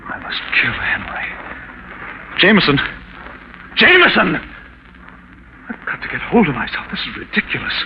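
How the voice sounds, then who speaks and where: A man's voice: fairly high pitch, full character voice. An actor playing an anxious wealthy man who fears for his sanity, agitated and hesitant, in a 1940s radio thriller. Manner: agitated, hesitant, repeating himself. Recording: scratchy, muffled 1940s radio broadcast.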